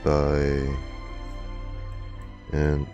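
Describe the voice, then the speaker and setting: A man doing casual reaction commentary as a streamer, muttering to himself and haltingly reading bits of game dialogue aloud. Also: a bass voice, completely flat delivery, mellow. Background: faint game music.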